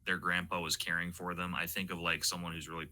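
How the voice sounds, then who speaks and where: Male interviewer: deep pitch, very sharp bright tone, informal and conversational. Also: level tone, quick pace. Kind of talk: casual chat interview.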